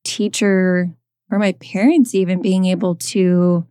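A woman's voice: on the low side, steady tone, a little slow, dark tone, no echo, clean studio sound. A woman in her thirties co-hosting a podcast, chatting casually with lots of fillers and restarts. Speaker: podcast co-host.

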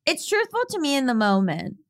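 Fast, intense woman podcast co-host. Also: sits high, very animated. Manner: fast, intense delivery that sounds argumentative. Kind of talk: casual chat.

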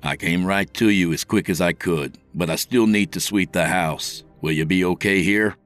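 A narrator, a man, acts out a dutiful male cop in a horror story. He speaks with firm authority in a low voice, with faint music behind. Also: moderate pace, lightly acted. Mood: calm, concerned. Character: commanding, dutiful male police officer with a gun.

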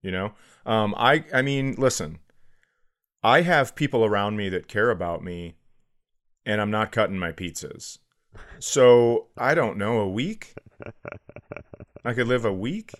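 A man's voice: fairly low pitch, a little slow, pauses often. A man co-hosting a comedy podcast, musing through hypotheticals in casual, self-deprecating chat with some easy swearing.

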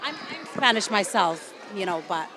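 A woman's voice: mid-range voice, very animated, moderate pace. A middle-aged woman from El Salvador, a parent answering interview questions informally and frankly. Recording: noisy outdoor crowd recording.

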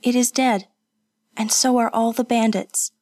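A woman voicing a calm, tender and resolute young noblewoman in an audiobook, speaking gently and firmly. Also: a little high, unhurried, no room sound, clean studio sound, pitched above the performer's own voice, lightly acted. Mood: reassuring. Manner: gentle, soothing, firm. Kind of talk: audiobook character voice.

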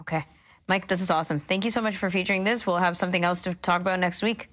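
A woman's voice: on the low side, steady tone, fast, fairly bright tone. A woman co-hosting a talk show in a casual broadcast style.

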